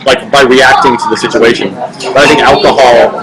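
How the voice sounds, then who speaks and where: A young man at mid pitch chats loosely on a live webcam stream, restarting sentences and leaning on filler words. A loud crowd din sits behind his voice. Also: fairly even intonation, normal speed, low-quality recording. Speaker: livestream host answering viewer questions. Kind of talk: casual chat. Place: home webcam livestream.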